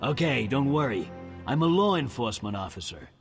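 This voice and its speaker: An actor playing a sly, corrupt town guard in a video game, speaking in a mocking way. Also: male voice, medium pitch, very animated, a little fast, creaky, long reverb tail, faint music behind. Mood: nervous. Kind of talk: video game dialogue acting.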